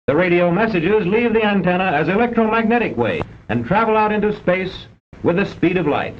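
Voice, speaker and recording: A man reads scripted narration for an old educational film in a formal, precise, didactic way, on a vintage film soundtrack. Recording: dull vintage film soundtrack with rumbling noise.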